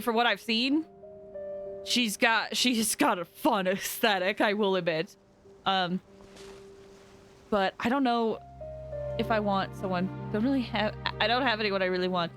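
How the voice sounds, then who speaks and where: A woman giving chatty, expressive, casual commentary as she livestreams a video game. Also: fairly high pitch. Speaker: game streamer.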